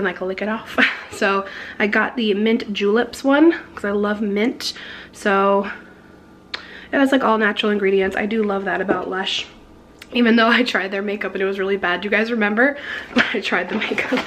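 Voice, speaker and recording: A young woman in her twenties, hoarse and losing her voice, chatting casually to a handheld camera in her kitchen with lots of giggles and fillers. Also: a little high, fairly bright tone, slight background noise. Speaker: beauty vlogger. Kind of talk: casual vlog chat. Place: home kitchen. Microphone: handheld camera at arm's length.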